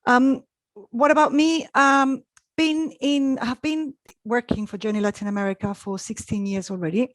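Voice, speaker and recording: A woman's voice: a little high, steady tone, moderate pace, dry recording. Chatty woman travel expert. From Chile. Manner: chatty, with frequent ums and restarts. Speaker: travel expert presenting a webinar. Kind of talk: live online presentation.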